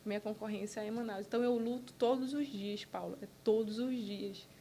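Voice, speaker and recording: A woman's voice: mid-range voice, fairly even intonation, brisk, mellow, a little hiss or hum. Earnest Brazilian businesswoman guest. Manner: earnest, talks in short bursts with restarts. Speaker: podcast guest, entrepreneur. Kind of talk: casual interview conversation.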